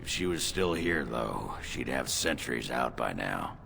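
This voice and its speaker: Very deep, gravelly gruff dwarf. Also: male voice, very animated, measured pace, bright, forceful tone, faint music behind, exaggerated voice. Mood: gruff, explaining. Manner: blunt, coarse. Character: gruff, coarse, boozy dwarf warrior man. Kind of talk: fantasy video game dialogue.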